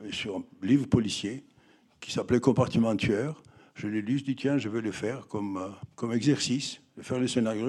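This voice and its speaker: An older film director recalling his early films in an interview, halting and full of restarts. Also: mid-range voice, a little mellow. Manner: halting, with many fillers and restarts. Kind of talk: reminiscing interview answers.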